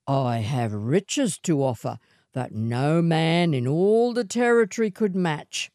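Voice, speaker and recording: A woman voicing a scruffy male wanderer and suitor in a storybook, boastful and coaxing, with measured delivery. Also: low-pitched, animated, warm tone, no echo, studio quality, heavily acted. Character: scruffy swagman suitor, boastful, unhurried, persistent.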